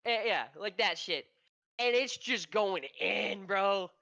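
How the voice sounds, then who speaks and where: A man's voice: high-pitched, slow, gravelly, sharp tone, dry recording. A man co-hosting a casual esports podcast, with a nasal, stuffy voice from allergies and a profane, rambling way of telling stories. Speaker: podcast co-host.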